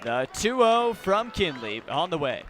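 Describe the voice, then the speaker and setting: A man calling baseball play-by-play live on the radio, phrasing deliberately and swelling on the big plays. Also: a little high, sing-song. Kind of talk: live radio sports commentary. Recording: noisy outdoor ballpark with crowd. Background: ballpark crowd noise.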